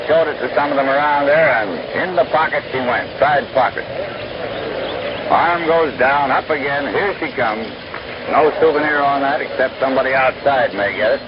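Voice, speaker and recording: An American man calling baseball play-by-play in a 1930s-40s radio style, with a folksy, wry, unhurried way of describing the action. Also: a little high. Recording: noisy, dull, scratchy old radio broadcast.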